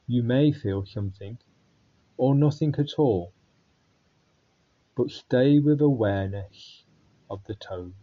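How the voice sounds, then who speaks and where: A man guiding a body scan meditation in a calm, unhurried way with long pauses. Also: medium pitch, dull audio. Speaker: meditation guide.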